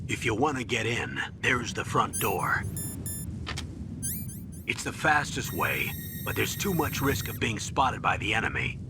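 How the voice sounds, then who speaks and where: An older colonel, low-pitched, measured, commanding, noisy game audio. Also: male voice, very animated. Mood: instructing.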